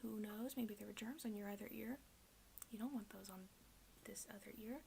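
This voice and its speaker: A woman whispering in a breathy, hushed, halting way, doing an ASMR roleplay as a gloomy, deadpan emo best friend. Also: medium pitch, a little hiss or hum. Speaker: ASMR video creator.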